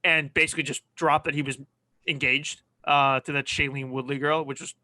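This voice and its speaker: A man's voice: a little high, flat delivery, fairly quick, sharp tone, no room sound. A man co-hosting a sports podcast in casual, conversational banter. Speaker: podcast co-host.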